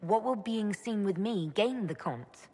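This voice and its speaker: A woman's voice: mid-range voice, lively intonation, unhurried, darkish tone, big-room sound, a little hiss or hum, natural voice. An actress playing a composed female elven mage leader in a video game, dry and direct in manner. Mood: cool, questioning. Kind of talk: dramatic video game acting.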